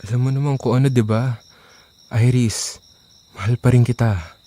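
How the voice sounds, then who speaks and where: A man's voice: medium pitch, moderate pace, dark tone, close to an everyday voice. A man acting a remorseful young ex-playboy who earnestly pleads for his love in an audio drama.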